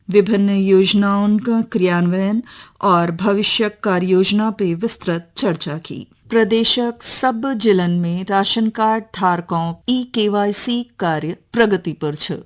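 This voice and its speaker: A woman with a Kumaoni accent reads a scripted regional news bulletin as a radio news reader. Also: mid-range voice.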